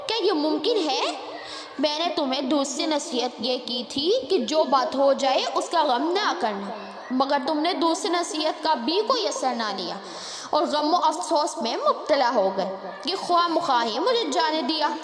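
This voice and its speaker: A woman voicing a clever little female sparrow who lectures formally in a children's moral storybook. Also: high-pitched. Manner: admonishing, formal storybook speech. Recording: echoey hall-like reverb, crowd-like background noise.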